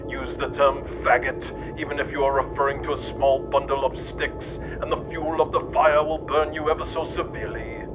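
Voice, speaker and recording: A man's voice: mid-range voice, background noise. A man reading scripted satirical narration in a sardonic, mocking manner. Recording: dull lo-fi sound, music behind. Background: clear background music.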